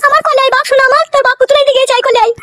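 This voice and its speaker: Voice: extremely high pitch, fairly even intonation, very fast, bright, forceful tone, no room sound. A performer voicing a flustered village wife and mother in a comedy cartoon, coaxing and fretful. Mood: scolding, soothing.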